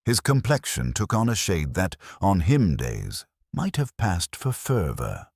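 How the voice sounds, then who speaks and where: A British man reading literary mystery narration in a measured, formal, dryly wry manner. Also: low voice, wildly swooping pitch, vocal fry, darkish tone, slightly rough, no echo, studio quality. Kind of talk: literary audiobook-style scripted narration of a mystery story.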